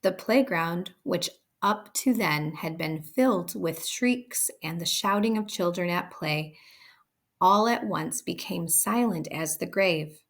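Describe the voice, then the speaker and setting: A woman reading a children's story aloud as expressive scripted narration. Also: lowish voice, slow. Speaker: storybook reader.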